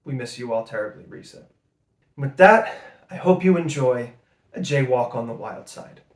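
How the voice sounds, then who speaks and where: A man gives an earnest introductory tribute as a director. His mid-range voice echoes in the room, and he phrases things emphatically with pauses. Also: medium pitch, animated. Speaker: director introducing an audio play. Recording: roomy, echoing sound.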